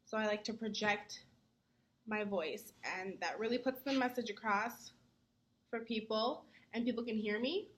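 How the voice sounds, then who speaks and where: A young adult woman, a student, giving earnest answers in a mock interview, often pausing on "um". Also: a little high. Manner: earnest, many "um" fillers; says she talks fast when nervous.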